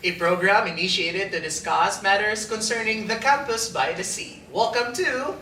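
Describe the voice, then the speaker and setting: A woman's voice: mid-range voice, normal speed, sharp tone. A woman, co-hosting a campus talk show in an upbeat, chatty way. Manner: upbeat, chatty, laughs often. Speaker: co-host. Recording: roomy, distant mic, faint noise.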